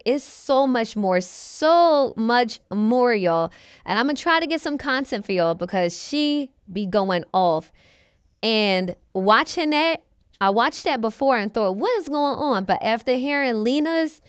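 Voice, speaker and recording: A woman's voice: on the high side, clear, resonant tone, dry recording. A woman giving casual, chatty commentary as a host introducing clips.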